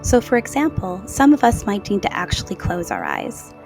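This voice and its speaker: A woman leading a guided meditation in a slow, soothing, soft-spoken way with gentle pauses. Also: mid-range voice, wildly swooping pitch, creaky. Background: new-age music.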